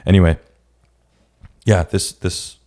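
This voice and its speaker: A man hosting a casual solo podcast, his voice a bit raspy today, rambling and often restarting his sentences. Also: low-pitched, steady tone, slow, a little mellow. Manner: rambling, restarts often, speaks in scattered bursts. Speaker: podcast host. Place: room at home with a street-facing window.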